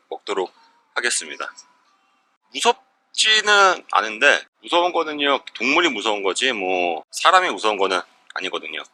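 A man's voice: mid-range voice, sharp tone. A man talking casually and politely to viewers as a camping vlogger, recorded at a winter campsite. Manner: polite, chatty, addressing the audience. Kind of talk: casual narration to viewers while camping alone. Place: winter campsite, outdoors and in a tent. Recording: clear outdoor ambient noise.

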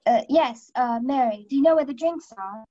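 A woman's voice: high-pitched, moderate pace, dark tone, dry recording, muffled recording. A young woman acting in a radio play as an awkward, unsure, hesitant guest out of place at a ball. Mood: hesitant, confused. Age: young adult.

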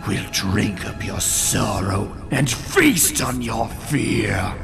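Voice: mid-range voice, drawn-out words, gravelly, full character voice. A performer voicing a sinister storm cloud monster in a comic dub, gloating over sadness in a menacing, taunting half-whisper. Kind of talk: comic dub villain acting. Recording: voice over background music.

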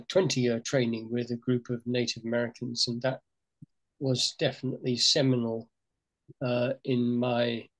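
An older man speaking on a video call from a room at home, reflective and unhurried, with frequent pauses and fillers. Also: mid-range voice, poor audio. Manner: thoughtful, hesitant, many fillers. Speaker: program co-leader. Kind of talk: reflective webinar talk.

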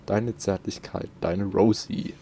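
A man's voice: on the low side, steady tone, takes it slowly, mellow, slight background noise. A man doing casual let's play commentary and reading in-game notes aloud, close to the microphone.

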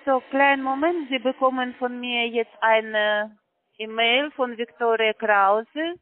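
A woman's voice: fairly high pitch, fairly even intonation, takes it slowly, no room sound. A woman working as a call center agent on a phone call, talking in broken grammar with constant hesitant fillers. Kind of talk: phone sales pitch. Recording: tinny dry phone line.

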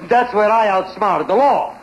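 A man acts out a breezy, crooked Russian schemer in a very high voice with a Russian accent. He states absurd things with total self-assurance. It is 1940s radio comedy on a noisy old recording. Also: normal speed, dull audio, natural voice. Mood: breezy, boastful.

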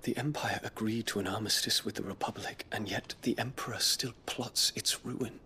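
Bright, forceful, burdened young prince. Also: male voice, on the low side, fairly even intonation, slight background noise. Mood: grave, burdened. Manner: formal, measured, earnest diction. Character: noble young warrior prince, grave and burdened. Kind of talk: dramatic game cutscene acting.

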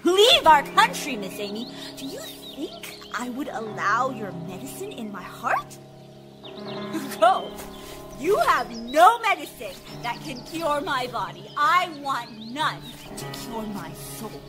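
A woman playing a sick local woman in an audio drama, with a halting, deliberate, dramatic delivery. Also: sits high, sing-song, loud music behind the voice, exaggerated voice. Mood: scornful, angry. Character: sick local woman, pleading, then scornful and defiant.

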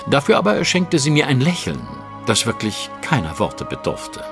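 A man's voice: medium pitch, huge pitch swings, normal speed, vocal fry. An actor playing a refined, self-assured Victorian detective, narrating his case in an audio drama with dry, formal, precise wit. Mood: intrigued. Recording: faint music under the voice. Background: music.

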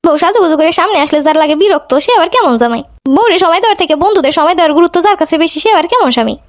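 Cartoon wife woman actor: hurt, defiant, sarcastic, Bangladeshi Bengali accent, high-pitched with breakneck delivery. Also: expressive, rapid-fire. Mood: cool, sarcastic. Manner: scolding, sarcastic. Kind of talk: amateur cartoon dialogue.

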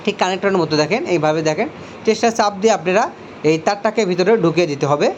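A man's voice: sits high, rapid-fire, lo-fi sound, slight background noise. A man with a Bangladeshi accent giving a hands-on tutorial as an electrician, explaining step by step and repeating himself as he points things out.

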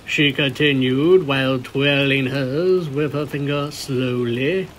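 A man's voice: mid-range voice, steady tone, takes it slowly, fairly bright tone, a little hiss or hum. A man narrating a scripted story as a fan fiction reader.

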